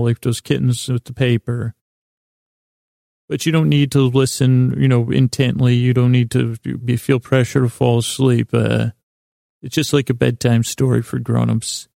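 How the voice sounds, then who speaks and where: A man hosting a bedtime podcast in a meandering, halting monologue, often interrupting himself and wandering off track while gently reassuring the listener. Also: medium pitch, little pitch movement, creaky, no room sound, clean studio sound. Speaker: podcast host.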